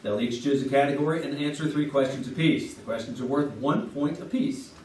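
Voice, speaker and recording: A man's voice: medium pitch, fairly quick, darkish tone, slight background noise, natural voice. A man playing an eager 1950s radio announcer who explains game rules patiently, heard far off in an echoey theater. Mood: explaining. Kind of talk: stage radio comedy.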